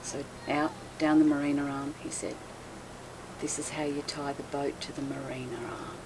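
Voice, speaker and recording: Woman, low voice, chatty storyteller, laughs a lot, faint hiss behind. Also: moderate pace. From Australia. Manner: laughs often, animated when telling stories. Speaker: interview guest, boat cruiser. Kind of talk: casual storytelling interview.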